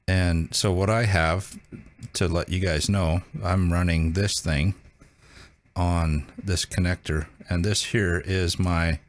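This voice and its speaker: A man hosting a casual hands-on tutorial, talking informally and haltingly as he thinks aloud. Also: low-pitched, dry recording. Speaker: video host demonstrating a radio.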